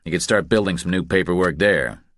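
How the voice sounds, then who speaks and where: Man reading a blunt, very deep-voiced tough guy. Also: brisk, a bit bright, dry recording. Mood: urging, practical. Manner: terse, matter-of-fact. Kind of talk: audiobook character dialogue.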